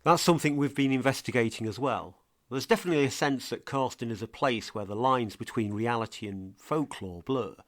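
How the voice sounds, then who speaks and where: A man presenting a scripted true crime mystery podcast as an earnest investigator, with a measured, portentous delivery. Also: mid-range voice, dry recording, clean studio sound, natural voice. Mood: earnest, curious.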